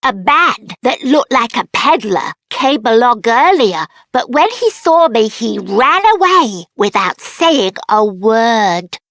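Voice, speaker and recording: A small, boastful video game gatekeeper, plucky and cocky. The high voice bounces playfully up and down and takes its words very slowly. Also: female voice, sing-song, a bit bright, no room sound, exaggerated voice. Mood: cocky, challenging. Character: plucky, boastful little gatekeeper challenging travellers to fight. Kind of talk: video game character acting.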